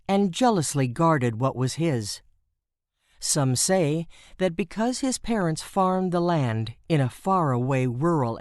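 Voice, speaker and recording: A woman playing an ominous mystery theatre storyteller, narrating a scripted horror radio play in a measured way. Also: low voice, a little mellow, no echo, clean studio sound, full character voice.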